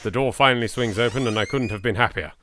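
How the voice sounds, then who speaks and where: A man's voice: mid-range voice, fast, fairly bright tone, slight background noise. A man with an English accent hosting a let's play, reading aloud dramatically and commenting in a theatrical, exasperated, sweary way. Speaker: gaming video host.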